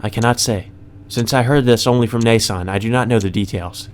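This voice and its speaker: A man's voice: medium pitch, brisk, close to an everyday voice. A man voicing a reserved, polite young maid woman who speaks formally, in a visual novel read aloud. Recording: music under the voice.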